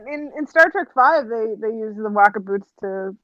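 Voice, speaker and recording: Chatty Canadian woman, lively up-and-down delivery, giggles a lot, dry muffled call audio. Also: a little high, moderate pace, no room sound. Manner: giggly, chatty, laughs often between remarks. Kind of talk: casual group chat over a voice call. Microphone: home voice-call mic.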